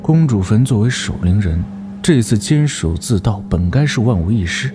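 A man's voice: on the low side, expressive, moderate pace, music in the background, lightly acted. A man playing a knowing, astonished onlooker in a martial-arts audio drama, thinking aloud in explanatory monologue. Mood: puzzled, musing.

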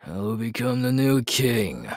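A man playing a ruthless, menacing young werewolf alpha in an ASMR audio roleplay, gravelly and breathy, slow and boastful, often dropping to a whisper. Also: mid-range voice, sing-song, no room sound, clean studio sound. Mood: menacing, ambitious.

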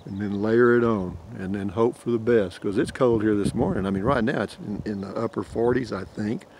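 A man's voice: fairly low pitch, brisk, darkish tone, a little hiss or hum. An older man with a drawl, rambling folksy vlog chat outdoors into a handheld phone. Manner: rambling, folksy, chuckles often. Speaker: camping vlogger. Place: outdoors in a pine forest. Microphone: phone held at arm's length.

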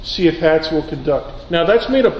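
High-voiced man teaching in an echoey hall. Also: animated, moderate pace, darkish tone. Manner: informal, giving short instructions. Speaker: science teacher. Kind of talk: informal classroom demonstration. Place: large lecture auditorium. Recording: echoey lecture hall, some background noise.

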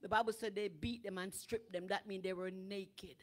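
A middle-aged woman preacher delivering a sermon from a church stage into a handheld microphone, rising from chatty storytelling to shouting. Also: medium pitch, normal speed. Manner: animated preaching that builds to shouting. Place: church sanctuary with draped stage.

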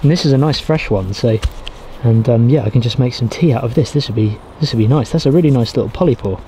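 A man in his thirties, outdoors in a pine forest, explaining how he pitches a shelter and gathers fire materials as he works, often restarting his sentences. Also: fast talker, warm tone, a little hiss or hum. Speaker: bushcraft vlogger. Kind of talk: casual outdoor how-to narration.

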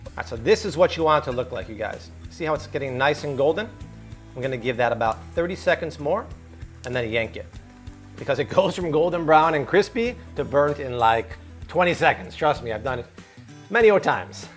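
A man in his thirties hosting a cooking video in a home kitchen, energetic and jokey, chatting with his crew as he cooks. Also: mid-range voice, brisk, soft background music. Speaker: cooking show host. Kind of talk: upbeat cooking demo.

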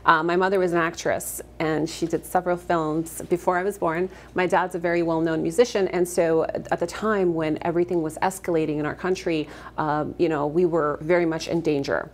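A woman in her thirties from Afghanistan, a guest actress in a morning TV studio interview, speaking earnestly and fluently with soft fillers on a lapel mic. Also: deep voice, steady tone, a little fast. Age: 30s. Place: TV studio set, indoors.

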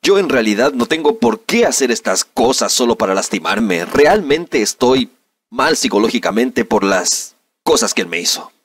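A man's voice: medium pitch, very animated, moderate pace, husky, no room sound, recorded from a distance. A man dubbing an aggrieved young man in an interview, in neutral Latin American Spanish with indignant, melodramatic film-dub diction. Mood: wounded. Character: aggrieved young man recounting a humiliation to reporters. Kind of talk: amateur comedic dub of a news interview.

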